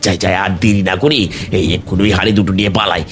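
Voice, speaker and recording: A man's voice: deep voice, fairly even intonation, fast talker, background noise, natural voice. A man voicing a suspicious, greedy village uncle in a children's fairy tale cartoon, exclaiming and repeating his words. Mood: greedy scheming.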